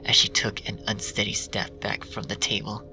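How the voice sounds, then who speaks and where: A man reading a dark fan story aloud in a hushed, half-whispered way. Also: on the low side, level tone, normal speed, gravelly. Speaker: fanfiction reader. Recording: distant mic with music bed.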